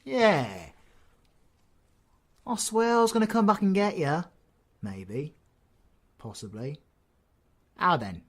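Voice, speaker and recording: A man's voice: high voice, slow pace, pauses often, full character voice. A man with a British accent playing a cocky, foul-mouthed supervillain in an audio roleplay, full of flippant, mocking banter. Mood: smug teasing.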